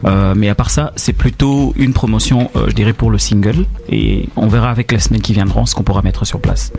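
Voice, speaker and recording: Low-voiced Rwandan singer, quick. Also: male voice, fairly even intonation, gravelly, darkish tone. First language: Kinyarwanda. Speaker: singer guest. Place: radio studio.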